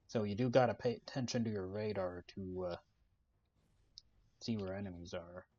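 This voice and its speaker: Male gaming host, low-pitched and soft-spoken, laid-back commentary, lo-fi mic sound. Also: moderate pace. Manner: relaxed, many "uh" fillers, explains the game while playing. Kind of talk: casual gameplay commentary. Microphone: desk microphone.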